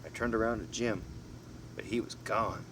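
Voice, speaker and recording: A man narrating a storybook as an amateur reader, expressively, in short halting phrases with casual asides. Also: fairly low pitch. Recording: noisy, distant mic, vehicle-like background hum.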